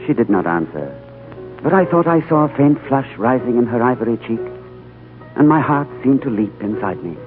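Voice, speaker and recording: An actor playing an earnest, thoughtful diplomat who also narrates, in a 1930s radio drama on an old broadcast recording. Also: male voice, mid-range voice, expressive, moderate pace, natural voice. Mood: narrating. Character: thoughtful male British diplomat narrating his tale. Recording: old phone-like radio broadcast, faint music.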